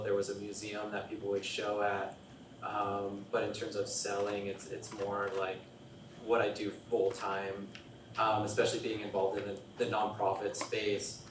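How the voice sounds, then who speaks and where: A soft-spoken man speaking on a panel, hesitant and stammering, with self-deprecating humor. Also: on the low side. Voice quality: quiet voice. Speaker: panelist, software designer. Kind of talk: panel discussion. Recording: echoey hall, distant mic, noise.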